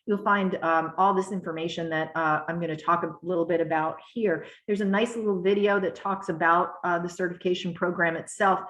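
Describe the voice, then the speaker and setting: A woman with a hoarse, coughing voice, pitched on the low side. She explains a program at a measured pace, with plenty of fillers and throat clearing. The call sounds roomy and echoey. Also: fairly bright tone. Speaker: webinar presenter. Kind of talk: explanatory webinar talk.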